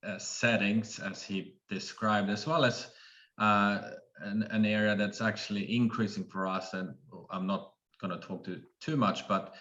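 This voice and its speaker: A middle-aged man from Sweden with a Swedish accent, giving a webinar presentation as a surgeon in a halting way, full of fillers and restarts. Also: low-pitched, fairly bright tone, highs cut off. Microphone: video call.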